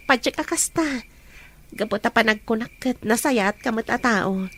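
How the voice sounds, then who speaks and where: A woman with a Filipino accent playing a young, secretly troubled friend in an Ilocano audio drama, with slow, deliberate delivery. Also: on the high side, expressive, fairly bright tone, slight background noise. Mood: friendly.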